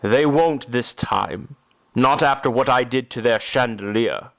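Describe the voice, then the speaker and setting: A man playing a brooding, tormented reclusive composer in an audio drama, speaking formally and gravely with deliberate phrasing. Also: mid-range voice, a bit bright. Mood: resolute, cold.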